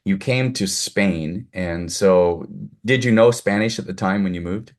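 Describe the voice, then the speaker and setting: A man's voice: low voice, measured pace. A man hosting an interview over a video call, effusive and full of compliments for his guest. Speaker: host, producer. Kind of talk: casual interview chat.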